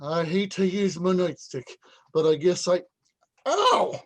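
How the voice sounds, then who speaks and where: A man as a high-voiced commanding cop. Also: lively intonation, slow, heavily acted. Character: brisk, commanding male beat cop. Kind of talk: 1940s radio drama acting.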